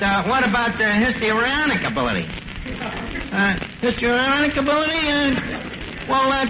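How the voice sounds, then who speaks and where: An actor playing a brash, slangy New York tavern manager who bluffs with mangled grand words in a 1940s radio comedy. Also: male voice, very high-pitched, full character voice. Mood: scheming, exasperated. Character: brash, fast-talking tavern manager full of big schemes. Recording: noisy, muffled 1940s radio broadcast.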